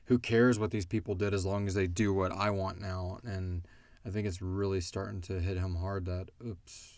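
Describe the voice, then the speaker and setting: Low-voiced man, casual podcast host. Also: flat delivery, moderate pace, a little mellow, dry recording. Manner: informal, thinking aloud with tangents. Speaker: podcast host and audio drama creator. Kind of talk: casual question-and-answer chat.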